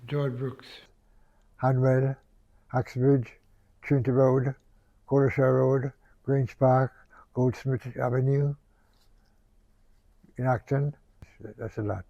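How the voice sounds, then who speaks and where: An older man with a gravelly, mellow voice looks back on his life in short, plain phrases. The recording is dry and a little dull. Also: mid-range voice, level tone, slow, long pauses, dry recording. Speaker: local resident interviewee. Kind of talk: personal reminiscence in an interview.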